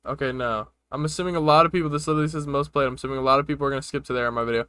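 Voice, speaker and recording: A man's voice: medium pitch, fairly even intonation, rapid-fire, bright, forceful tone, smooth, no room sound. A man giving casual, slangy reaction commentary as the host of a video, in short informal remarks. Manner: informal, slangy, brief interjections.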